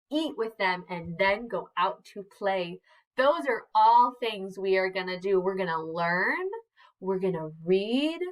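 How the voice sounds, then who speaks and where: Slow, gentle woman teacher reading aloud. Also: on the high side. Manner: encouraging, asks the children questions and prompts gestures. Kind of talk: reading a picture book aloud to young children. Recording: voice a bit distant from the mic.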